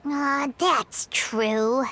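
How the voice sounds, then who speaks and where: Very high squeaky childlike companion. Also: female voice, very slow, sharp tone, exaggerated voice. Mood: feisty, scheming. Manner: speaks of self in third person, perky. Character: tiny childlike girl companion, excitable, loyal, cheeky. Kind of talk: anime video game voice acting.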